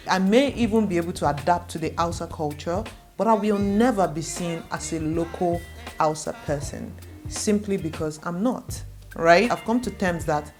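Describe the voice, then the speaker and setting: A young adult woman from Nigeria, a native Igbo speaker, earnestly reassuring viewers in a direct-to-camera advice vlog and repeating points for emphasis. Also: lowish voice. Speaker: vlogger.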